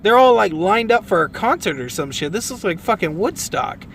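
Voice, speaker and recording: Hyped guy cussing up a storm in the car, high bouncy voice, lots of road noise. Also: moderate pace. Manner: excitable, profane, laughing, swings between shouting and muttering. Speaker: vlogger filming from a car. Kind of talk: casual joking commentary. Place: inside a vehicle. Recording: noisy car cabin recording.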